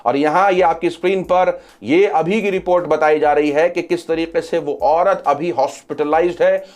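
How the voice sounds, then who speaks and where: Male news commentator, pitched slightly high, explanatory update style with emphatic repetition. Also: steady tone, moderate pace, darkish tone. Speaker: social media news commentator. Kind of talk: news commentary sharing updates to camera or over screen.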